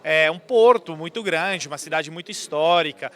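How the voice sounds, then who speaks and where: A man's voice: fairly high pitch, moderate pace, fairly bright tone. A man in his thirties from Italy with an Italian accent, answering interview questions fluently with frequent hesitation fillers. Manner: fluent, explanatory, many "é" fillers. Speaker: guest speaker. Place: outdoors at a convention.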